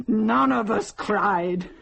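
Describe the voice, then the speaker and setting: An actress playing a starving, embittered village widow and mother near death in an audio drama, speaking mournfully and solemnly with a husky voice. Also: medium pitch, drawn-out words, a bit bright, full character voice. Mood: numb grief.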